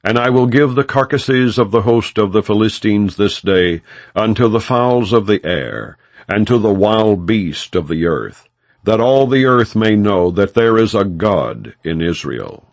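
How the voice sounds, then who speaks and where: Man reading as bold young shepherd, deep and declamatory. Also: expressive, measured pace, no echo. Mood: defiant, resolute. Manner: earnest, declamatory, old-fashioned scriptural speech. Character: bold, faithful young shepherd boy facing battle.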